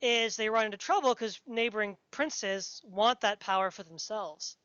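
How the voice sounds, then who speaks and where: A woman's voice: medium pitch, moderate pace, fairly bright tone, clear, resonant tone, no echo. A woman interviewing someone on a podcast in casual, geeky, enthusiastic conversation. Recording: dull, lo-fi podcast audio.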